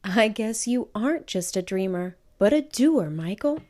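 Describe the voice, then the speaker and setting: A firm but loving storybook mother, acted by a woman reader. Her voice is soft-toned and lifted a little, with an admonishing, no-nonsense way of speaking. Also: mid-range voice, animated, a little slow, close to an everyday voice. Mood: approving.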